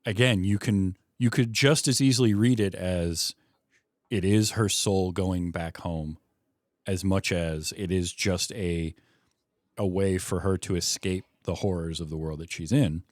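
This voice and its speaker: Low-voiced man, dry podcast sound. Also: unhurried, dry recording. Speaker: podcast host. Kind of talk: casual film discussion.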